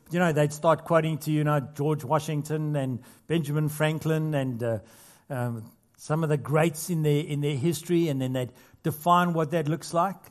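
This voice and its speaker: A man's voice: on the high side, fairly even intonation, normal speed. A man preaching a sermon as a pastor, earnest, building to emphatic points with casual asides in between. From not Australia.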